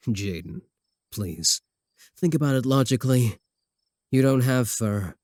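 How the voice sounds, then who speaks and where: A man's voice: mid-range voice, lively intonation, measured pace, darkish tone, dry recording, lightly acted. A man playing a cocky, obsessive, easily offended werewolf in love, boasting earnestly and throwing dry sarcastic jabs in a comedic ASMR roleplay. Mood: dry bickering.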